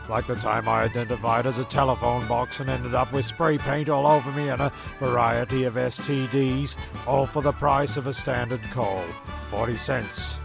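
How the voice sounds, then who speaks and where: Bloke doing gravelly fed-up radio play narrator, stammery rambling rants, loud music underneath. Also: male voice, mid-range voice. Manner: stammering, ranting, deadpan asides. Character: exasperated, sweary, rambling narrator of island castaways.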